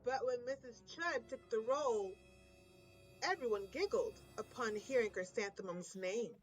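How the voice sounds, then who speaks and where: A woman narrating a children's storybook aloud in an expressive, measured storytelling manner. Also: fairly high pitch, soft background music. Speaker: storybook reader.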